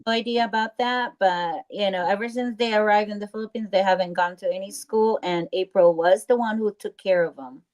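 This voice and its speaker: A woman talks in a casual live stream discussion. Her voice sits at an ordinary middle pitch, and she speaks informally and bluntly, with an indignant edge. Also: a little fast, few pauses, darkish tone, low-quality recording.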